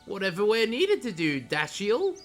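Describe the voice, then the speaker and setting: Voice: medium pitch, huge pitch swings, normal speed, faint music behind. A performer voicing a polite, eager young male tank engine in a children's audio drama, earnest and boyish. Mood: grateful, eager.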